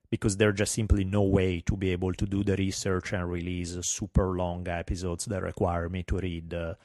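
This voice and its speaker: Podcast host, middle-aged man, thick Italian accent, fairly low voice, wry and self-deprecating. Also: a little mellow, no room sound, studio quality. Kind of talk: solo podcast monologue.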